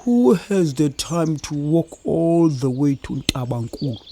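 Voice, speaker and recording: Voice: mid-range voice, very animated, unhurried, noisy background. An actor with a South African accent playing a lazy, boastful dassie in a children's comedy audio play, talking in a smug drawl. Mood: smug, scheming. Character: lazy, boastful trickster dassie, pretending to be too cool.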